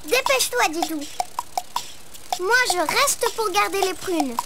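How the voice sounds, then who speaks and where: A woman voicing an eager, excitable little childlike sidekick in a preschool cartoon, with singsong exclamations. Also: extremely high pitch, a little slow, over loud music, exaggerated voice. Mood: puzzled.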